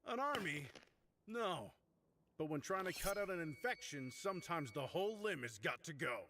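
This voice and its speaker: Hot-tempered spear warrior, higher voice. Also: male voice, expressive, moderate pace, pushed and bright, a little hiss or hum. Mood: menacing. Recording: faint music behind the voice.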